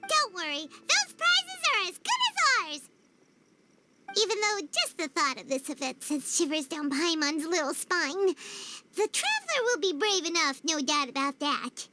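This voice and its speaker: A woman voicing a small, excitable, childlike fairy companion in a video game, squeaky and bubbly. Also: unhurried, very noisy, full character voice. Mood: excited, boastful. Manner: bubbly, refers to self in third person. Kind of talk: animated video game voice acting. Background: playful background music.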